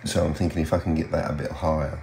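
An older man talking casually and haltingly in a low-key, unhurried way, like a hobbyist vlogging at home. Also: flat delivery, warm tone. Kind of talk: casual hobby vlog commentary. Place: small home radio room, indoors. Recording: roomy sound with faint hum.

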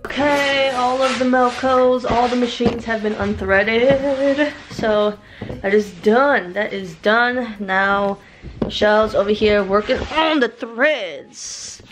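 A woman's voice: fairly high pitch, takes it slowly, music in the background, rough recording. A young woman vlogging casually while packing her craft studio, playful and laughing, with dramatic gasps and sighs. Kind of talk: casual vlog chat. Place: large craft room studio, indoors.

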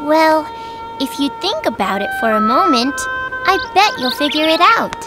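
Cheerful little cartoon girl, high voice, lively swoops, encouraging, music behind. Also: normal speed. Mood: gentle, explaining. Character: cheerful, helpful, wise young girl. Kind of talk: children's cartoon acting.

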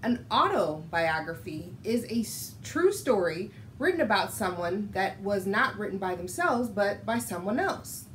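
An American woman in her thirties hosting a children's storytime, speaking in an instructive, expressive read-aloud style. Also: mid-range voice, a little slow, hardly any pauses, a little hiss or hum, rough recording. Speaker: children's storytime host and reader. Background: music.

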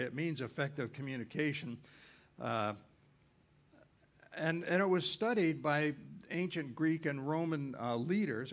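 Older male cruise lecturer, gravelly voice, folksy and rambling, plenty of uhs. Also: mid-range voice. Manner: folksy, rambling, many uhs and self-corrections. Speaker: cruise ship destination lecturer. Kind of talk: informal travel lecture. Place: lecture room on a cruise ship.

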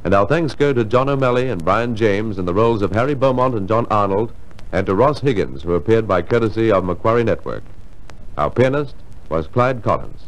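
A man's voice: on the low side, lively intonation, a little fast, rough recording. A man narrating and announcing a scripted old-time radio drama.

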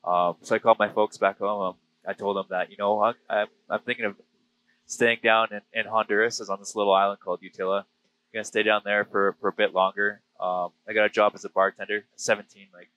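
Male, 30s, western Canadian. Lowish pitch, sharp bright tone, easygoing anecdotal delivery, close headset mic. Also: flat delivery, fast talker, poor audio. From British Columbia, Canada. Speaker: interview guest, diver and filmmaker. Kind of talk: casual storytelling interview. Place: small plane cockpit in flight. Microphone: aviation headset boom. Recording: close aircraft headset intercom.

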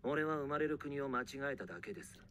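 An actor playing a cool, aloof young soccer prodigy in an anime. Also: male voice, mid-range voice, lively intonation, quick pace, a bit bright, a little hiss or hum, close to an everyday voice. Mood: cold disdain. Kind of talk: anime voice acting.

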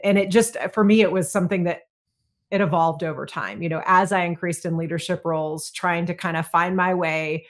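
Middle-aged woman guest, a bit low-pitched, earnest and reflective, video call audio. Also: fairly even intonation, fairly quick. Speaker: guest, retired fighter pilot. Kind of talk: interview answers. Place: home room with bookshelves.

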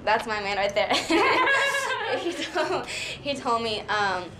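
A woman's voice: a little high, sing-song, slow pace, very noisy. A teenage girl, a contestant speaking hesitantly to the camera. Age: teens. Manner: hesitant, with fillers. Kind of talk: interview to camera.

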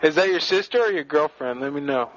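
A man's voice: on the high side, lively intonation, fast, fairly bright tone. A man making a prank phone call, taunting and provoking the person on the other end with over-the-top insults. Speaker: prank caller. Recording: dull lo-fi phone recording with faint noise.

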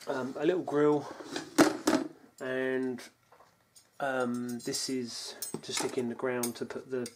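A British man giving a casual, unscripted rundown of his kit in a low-key, halting way, as if thinking aloud. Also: little pitch movement. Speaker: bushcraft hobbyist presenting his kit. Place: indoors on a wooden floor at home. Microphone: camera mic, a short distance away. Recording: noisy home video, clattering gear. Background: clicks and clatter of handled gear.

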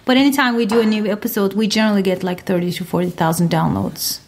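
A woman's voice: medium pitch, steady tone, vocal fry, darkish tone, slight background noise. A woman from Turkey, a science podcaster answering interview questions with many fillers. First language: Turkish. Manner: many fillers, talks in quick bursts.

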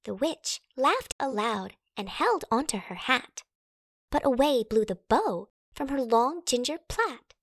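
A woman reads a rhyming children's story in a fairly high voice. Her delivery is very animated and dramatic, recorded close and dry. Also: a little slow, no room sound. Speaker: storybook narrator. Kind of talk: expressive children's read-aloud in rhyme.

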